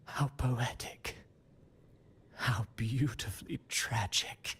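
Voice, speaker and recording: An actor voicing a smug, scheming playwright villain in a video game, eloquent and morbidly poetic, savoring the words theatrically. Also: male voice, medium pitch, slow, fairly bright tone, breathy edge, full character voice. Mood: morbid delight. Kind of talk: dramatic game villain dialogue.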